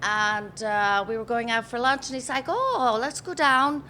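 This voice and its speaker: Irish woman, 50s, bright chatty storyteller, interview guest. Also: a little high, unhurried, a little hiss or hum. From Ireland. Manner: chatty storyteller, laughs easily, acts out quotes. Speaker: pub owner, interviewee. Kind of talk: casual interview chat.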